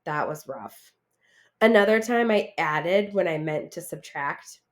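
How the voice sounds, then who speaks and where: A woman reading a picture book aloud in an animated, expressive, dramatic way for children. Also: sits low, measured pace. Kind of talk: animated storybook read-aloud.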